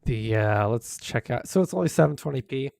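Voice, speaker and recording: A hoarse man live-streaming, casually critiquing videos with many fillers and restarts. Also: mid-range voice, animated, fairly quick, darkish tone, no echo, clean studio sound.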